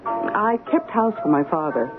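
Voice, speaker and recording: A woman's voice: mid-range voice, animated, normal speed, music in the background, close to an everyday voice. A mature, plainspoken single woman played by an actress in 1970s radio drama style. She speaks in a medium register with dry, bantering wit. The sound is narrow and boxy, like an old broadcast. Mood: amused, wistful. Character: wry, mature unmarried woman, a poet's plainspoken daughter.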